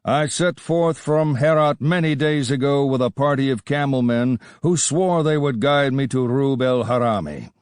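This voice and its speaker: Man voicing a bold, proud Kurdish rider, swaggering, lifted pitch, dry close sound. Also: normal speed, hardly any pauses, a little mellow, smooth, no echo, close to an everyday voice. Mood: confident.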